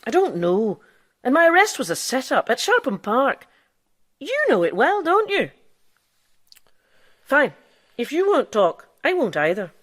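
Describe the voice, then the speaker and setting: A woman's voice: sits high, huge pitch swings, unhurried, long pauses, no echo, studio quality, full character voice. An actress in a radio drama playing an impudent, boastful female quack doctor who lives as a man. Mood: defiant. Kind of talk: radio drama acting with narration.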